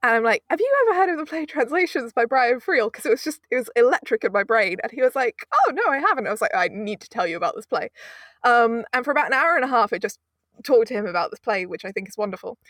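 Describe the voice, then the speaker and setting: A young woman from Britain, an audio drama producer telling stories in a casual interview, animated and self-mocking with frequent laughs. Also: fairly high pitch, quick pace, dry recording, studio quality.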